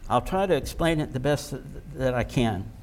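A man preaching a sermon, slow and emphatic, repeating words for stress. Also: mid-range voice, animated.

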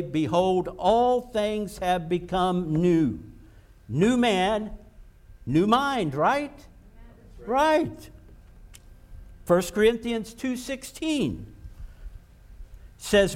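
A man preaching a sermon, slow and emphatic, repeating words for stress. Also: sits high.

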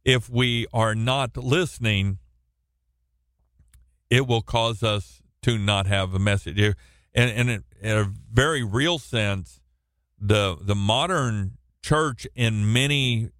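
Slow, loud-voiced radio Bible teacher. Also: male voice, fairly low pitch, no echo, clean studio sound. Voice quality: loud, projecting voice. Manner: halting, with frequent restarts and repeated words. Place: radio studio, alone.

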